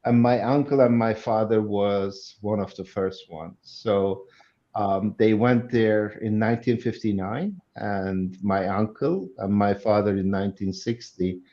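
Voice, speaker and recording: A man in his sixties from Turkey, a guest telling his story slowly and in measured phrases on a video call. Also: on the low side, steady tone, dark tone, muffled recording. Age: 60s. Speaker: guest speaker. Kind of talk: online interview.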